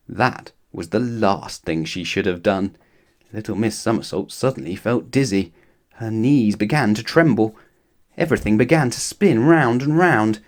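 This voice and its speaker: A man narrating a children's storybook expressively, as if talking straight to young listeners. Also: fairly low pitch. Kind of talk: animated children's story read-aloud.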